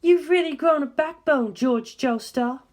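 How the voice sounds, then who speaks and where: Imposing young lady of the estate played by an audiobook reader: very high pitch, curt, haughty, challenging. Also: unhurried. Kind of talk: acted dialogue in an audiobook.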